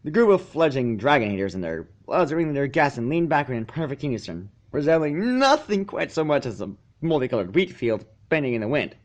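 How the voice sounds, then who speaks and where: Man narrating a story with lively intonation. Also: fairly high pitch, a little fast, few pauses, dull audio. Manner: stumbles and rereads phrases. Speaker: fan fiction reader.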